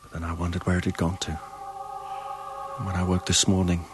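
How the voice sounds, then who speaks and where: An actor voicing a frightened man reading from his journal in a hushed, dread-filled way in a radio drama. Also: low voice, level tone, brisk, music in the background, lightly acted.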